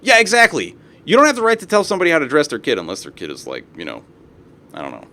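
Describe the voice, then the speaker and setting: A man's voice: fairly high pitch, very animated, fast talker, bright, forceful tone. Young guy streamer ranting and swearing, voice bouncing all over the place. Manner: profane, ranting, reads posts aloud with asides. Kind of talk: live stream commentary on reader posts.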